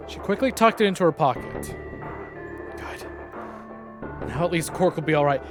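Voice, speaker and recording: A male streamer reading a visual novel aloud with dramatic, expressive narration. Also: on the high side, normal speed. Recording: game music playing behind.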